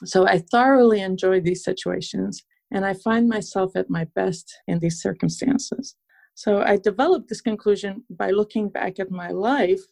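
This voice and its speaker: A woman from Transylvania, Romania, speaking earnestly and in measured, articulate phrases as a podcast guest, reflecting in an interview. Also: mid-range voice, creaky, darkish tone.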